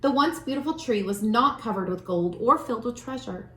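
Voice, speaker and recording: A woman reading a children's storybook aloud as a storyteller, with expressive, deliberate phrasing. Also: fairly high pitch. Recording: roomy, echoing room sound.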